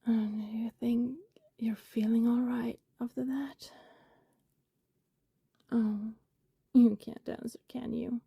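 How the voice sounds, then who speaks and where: A woman playing a lonely, tender, guilt-ridden vampire neighbor in an intimate ASMR roleplay, speaking softly in a breathy whisper with pauses. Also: a little high, no room sound, heavily acted. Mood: regretful, tender. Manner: slow, hushed, intimate, with pauses.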